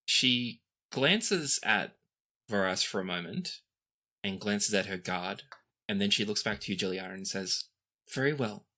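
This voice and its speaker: A man's voice: lowish voice, measured pace, bright, forceful tone. A man acting as game master, narrating scenes and talking casually through the rules of a tabletop game.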